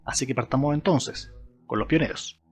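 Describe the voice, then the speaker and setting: A man's voice: mid-range voice, normal speed, slightly rough, faint music behind. A man with a Chilean accent, hosting his channel with scripted video essay narration addressed to viewers. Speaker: YouTube channel host.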